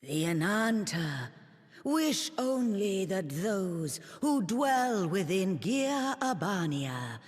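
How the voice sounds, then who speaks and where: An actress voicing a stately, devout serpent-folk envoy in a video game, speaking with drawn-out ceremony. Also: female voice, mid-range voice, big pitch swings. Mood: solemn, devout. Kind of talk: fantasy video game creature acting. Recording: long reverberant hall echo.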